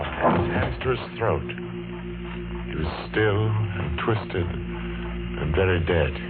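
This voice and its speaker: A man's voice: fairly low pitch, natural voice. An actor playing a haunted man telling of his past, in grave, suspenseful old-time radio narration. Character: haunted man recounting his dreadful boyhood. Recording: tinny vintage radio with music.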